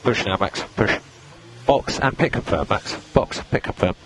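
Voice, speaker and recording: A calm male race engineer giving clipped, procedural instructions over team radio, repeating key points. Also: fairly low pitch, normal speed. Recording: noisy radio link, engine noise.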